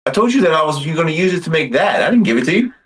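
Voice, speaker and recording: A man's voice: fairly high pitch, very animated, rapid-fire, a bit bright, husky. A man in casual chat, matter-of-fact and mildly exasperated.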